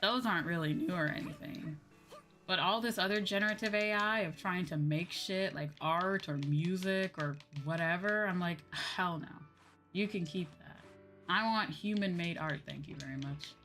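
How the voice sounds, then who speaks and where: A woman's voice: fairly low pitch, moderate pace, a bit bright, soft background music. A woman livestreamer chatting casually while gaming, blunt and swearing freely, repeating her points for emphasis. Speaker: livestream host, VTuber.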